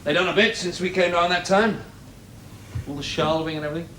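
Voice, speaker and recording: An actor playing a self-assured, paternal research boss, coaxing and persuasive in 1970s television drama style. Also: male voice, on the high side, steady tone, fairly quick, a bit bright, close to an everyday voice, low-quality recording. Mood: light, coaxing. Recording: faintly hissy old television soundtrack.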